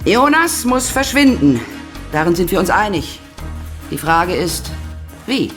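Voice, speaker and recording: A woman's voice: on the low side, very animated, a little slow, a bit bright. A woman playing a vengeful, imperious committee chair in a radio play, commanding and formal, like an orator. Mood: vengeful, resolute. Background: music.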